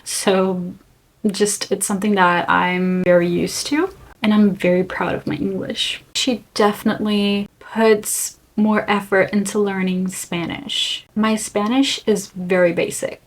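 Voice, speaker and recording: Young woman. Mid pitch, candid and earnest, halting phrasing. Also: low-quality recording. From Brazil. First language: Portuguese. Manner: earnest, reflective, speaks in short halting phrases. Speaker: vlogger. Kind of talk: candid personal reflection to camera.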